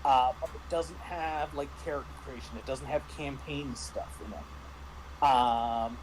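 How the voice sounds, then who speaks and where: A man in a casual review discussion, talking haltingly with repeated words and false starts. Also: mid-range voice, steady tone, background noise. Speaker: panelist reviewing a tabletop roleplaying game.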